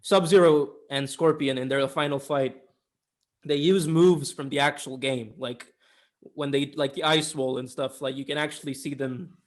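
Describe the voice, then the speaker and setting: A man's voice: medium pitch, fairly even intonation, normal speed. A man co-hosting a film review podcast, chatting casually and giving opinions in informal language.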